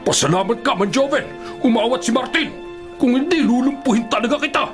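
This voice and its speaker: A man's voice: very high-pitched, lively intonation, a little fast, music in the background. An actor playing a hot-tempered, bullying male inmate in a radio drama, aggressive and impatient. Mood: furious.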